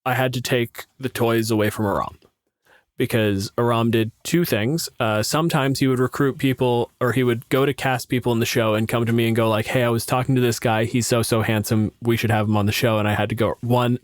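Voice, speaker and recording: A man's voice: medium pitch, fairly even intonation, fairly quick, dry recording, clean studio sound. A man speaking casually as a podcast co-host and game master, with a blunt, teasing, sweary manner.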